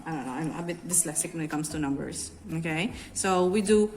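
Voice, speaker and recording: Filipino lady doing a chatty how-to, slightly low voice, echoey room w music behind. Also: fairly quick, a little mellow, a little hiss or hum. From Bacolod, Philippines. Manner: conversational, instructive, addresses viewers directly. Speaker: cake decorating instructor. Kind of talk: informal hands-on craft tutorial.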